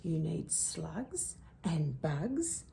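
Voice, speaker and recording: A woman's voice: low-pitched, big pitch swings, extremely slow, creaky, warm tone, slight background noise, pitched below the performer's own voice, exaggerated voice. A woman voicing a bossy male echidna in a storybook, bristling and insistent.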